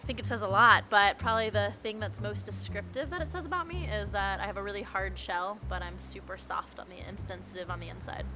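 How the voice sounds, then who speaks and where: A woman from Ohio answering street interview questions, animated and earnest. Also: mid-range voice, quick pace, hardly any pauses, music in the background.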